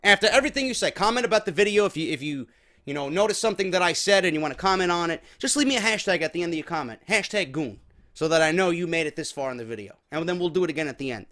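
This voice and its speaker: Man, New York accent, slightly high voice, casual podcast host, emphatic, swears freely. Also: lively intonation, racing, sharp tone. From New York, USA. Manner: informal, heavy swearing, emphatic. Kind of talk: casual chat to viewers.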